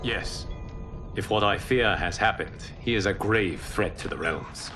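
An actor playing a calm, wise protector god in a video game cutscene, speaking in a measured, authoritative way. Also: male voice, on the low side, noisy background, natural voice. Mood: commanding. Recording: game audio with loud score.

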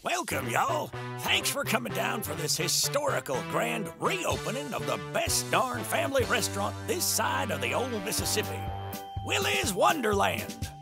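Creaky, swooping Southern cowboy showman. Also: sits high, huge pitch swings, normal speed, over loud music, exaggerated voice. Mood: showy, welcoming. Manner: hammy showman's announcing. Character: folksy, boastful Southern cowboy restaurant owner, showman. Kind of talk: comic dub voice acting.

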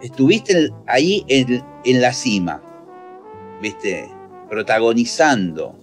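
Argentine radio host on a video call. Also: male voice, mid-range voice, takes it slowly, soft background music. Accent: Argentine Spanish. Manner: relaxed, hesitant questions with fillers. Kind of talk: casual video-call interview. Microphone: video call with a mic.

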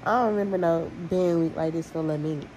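A woman's voice: low-pitched, fast, warm tone, a little hiss or hum, rough recording. A young woman, chatting casually and bluntly to her vlog audience while tallying money aloud. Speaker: vlogger. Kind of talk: casual vlog talk to camera.

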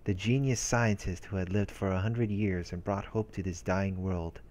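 A man reading visual novel narration aloud as a let's play host, in a measured manner with brief casual commentary. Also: deep voice, fairly even intonation, a little mellow. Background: game music.

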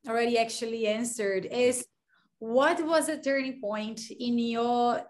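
Gently encouraging young woman interviewer. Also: a little high. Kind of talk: casual interview talk. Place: living room at home.

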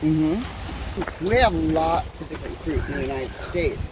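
A woman's voice: deep voice, lively intonation, unhurried. An older woman from Oregon chatting casually outdoors by a road, chuckling often. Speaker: local resident. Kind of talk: casual chat. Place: outdoors by a rural roadside. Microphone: vlogger's handheld camera, a few steps off. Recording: noisy outdoor camera audio.

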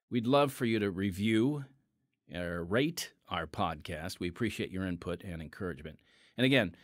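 A man's voice: medium pitch, fairly bright tone, no room sound. A man hosting and announcing a radio drama podcast in a folksy way, on a close studio mic. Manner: folksy, addresses listeners as folks. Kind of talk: scripted hosting with informal asides.

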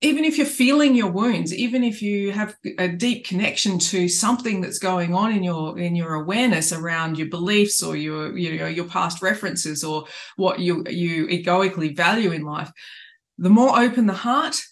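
A woman's voice: lowish voice, moderate pace. A middle-aged woman, a life coach earnestly talking to camera about living from the heart, often restarting her phrases, recorded on a webcam in a small room at home. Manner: earnest, spiritual, with many restarts. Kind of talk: reflective coaching talk to camera.

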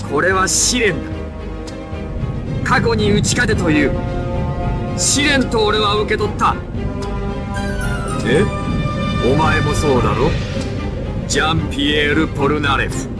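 Casting: male actor for imperious video game villain, highish voice, slow and menacing theatrical delivery. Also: close to an everyday voice. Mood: cold, accusing. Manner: theatrical, menacing, deliberate, swinging from low murmur to shouts. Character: ruthless, imperious adult male crime boss villain. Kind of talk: dramatic anime video game voice acting. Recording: loud music over game voice clips. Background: loud game music.

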